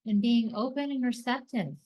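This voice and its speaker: A woman hosting a guided meditation, speaking in deliberate short phrases with pauses between them, as scripted soothing narration. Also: on the high side, lo-fi sound.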